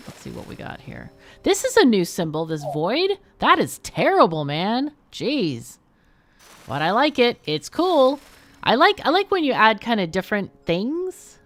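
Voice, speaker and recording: A woman giving casual live game commentary, chatty and expressive, often muttering to herself as she thinks aloud. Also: mid-range voice. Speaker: game streamer, host.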